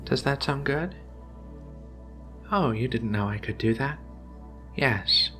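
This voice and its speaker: Man voicing a slow, gentle feline alien woman. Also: mid-range voice, soft background music. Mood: gentle, coaxing. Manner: soothing, hesitant, slow reassuring delivery. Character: gentle giant feline alien researcher Ragna, female, caring. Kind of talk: ASMR roleplay.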